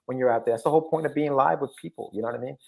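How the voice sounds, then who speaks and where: A man's voice: medium pitch, very fast, creaky. A man hosting a podcast interview, chummy and casual, who often repeats his phrases. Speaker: podcast host.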